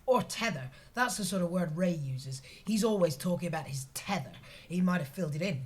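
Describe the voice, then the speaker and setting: Prim preachy coworker lady, lowish husky voice, wordy, office comedy. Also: expressive, a little fast, darkish tone. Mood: earnest rambling. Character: earnest, disapproving, rule-abiding office colleague. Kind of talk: audio sitcom acting.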